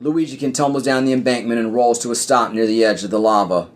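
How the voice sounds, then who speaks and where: A man's voice: medium pitch, fairly even intonation, quick pace. A man reading scripted scene directions as the narrator of a podcast audio play.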